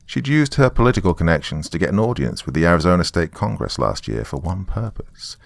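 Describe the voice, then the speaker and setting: A man's voice: sits low, very animated, brisk, creaky, no room sound, heavily acted. A man playing a wry, jaded, middle-aged divorced small-town guy, telling a horror story conversationally in the first person with dry sarcasm. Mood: wry, confiding. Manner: sardonic, dry, confiding.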